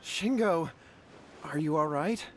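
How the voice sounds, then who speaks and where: A man's voice: on the high side, slow pace, a little hiss or hum. A man playing an anxious, earnest young brother in an anime game. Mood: worried, regretful.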